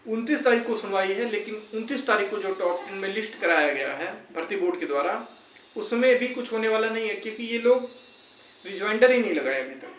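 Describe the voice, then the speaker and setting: A man's voice: sits high, animated, a little fast, a bit bright. A man with a North Indian accent from Uttar Pradesh giving an informal, emphatic explanatory update to viewers as a YouTuber. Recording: roomy echo, distant mic, faint noise.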